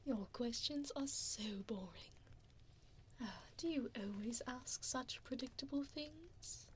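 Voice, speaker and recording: Casting: cruel aristocratic female vampire played by a woman, higher-pitched breathy whisper, unhurried condescension, close-miked ASMR roleplay. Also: expressive, a little hiss or hum. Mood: mocking, controlling. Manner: condescending, slow, formal diction. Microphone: close binaural microphone.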